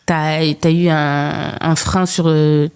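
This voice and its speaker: Woman, podcast host, fairly low pitch, soft dark timbre, conversational, informal interviewing. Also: flat delivery, slow, smooth.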